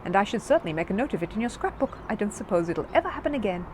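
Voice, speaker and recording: A woman voicing a kindly, courteous older gentleman in a children's story, polite and measured. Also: medium pitch, very animated, background noise, lightly acted.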